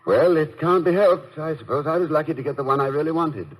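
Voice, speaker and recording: An actor playing a witty, dryly grumbling American college president in an old-time radio drama, articulate and a little hesitant. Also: male voice, a little high, fast talker, close to an everyday voice. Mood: wry. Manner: wry, articulate, somewhat hesitant. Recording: noisy vintage radio recording.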